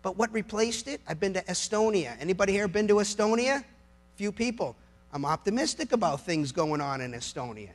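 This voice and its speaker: A middle-aged American man delivering an impassioned political speech to a live audience, emphatic, with rhetorical questions and dramatic pauses. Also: high voice, expressive. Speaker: lawyer giving a public speech.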